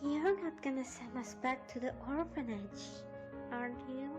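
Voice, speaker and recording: A woman acts out a shy little orphan filly of five, with a high voice. She speaks slowly and hesitantly. Faint music plays underneath. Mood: hopeful. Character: timid five-year-old orphan filly girl.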